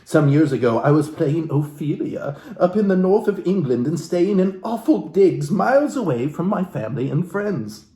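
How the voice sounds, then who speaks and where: Theatrical man, swooping pitch, roomy sound. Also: sing-song, mellow. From Alaska. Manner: florid, mock-genteel, dramatic emphasis and pauses. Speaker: host reading aloud from a book. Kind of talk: comedic theatrical book reading with asides to viewers. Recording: roomy, echoey room sound.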